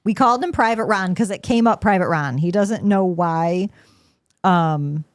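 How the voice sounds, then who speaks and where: A woman's voice: on the low side, a little slow. A woman co-hosting a live stream, chatting and laughing as she relays viewer comments. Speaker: co-host reading chat comments. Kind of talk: casual chat.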